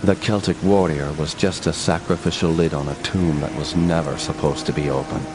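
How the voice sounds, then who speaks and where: An actor playing a grave, embittered adventurer hero in a video game, speaking with brooding intensity. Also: male voice, extremely low pitch, steady tone, normal speed, background noise. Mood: brooding, reflective. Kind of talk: dramatic video game acting and narration.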